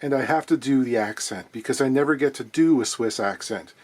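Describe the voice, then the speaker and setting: A man's voice: mid-range voice, fairly quick, darkish tone. A man hosting his guitar repair vlog from his workshop, talking in a wry, chatty, digressive way. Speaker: guitar repair luthier and channel host.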